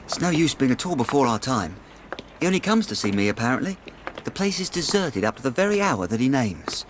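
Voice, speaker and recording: An actor in a radio drama playing an earnest, anxious young English clerk, polite and plain-spoken. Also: male voice, mid-range voice, sing-song, a little fast, lightly acted, rough recording. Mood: eager. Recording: radio drama with clear background noise.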